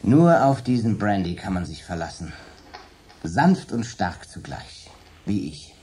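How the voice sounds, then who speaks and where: A man's voice: low-pitched, unhurried, a little hiss or hum. An actor playing a cynical, ironic man in a radio drama. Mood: wry. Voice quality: ironic, lively delivery. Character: cynical, brandy-drinking man named Dan. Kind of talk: radio play acting.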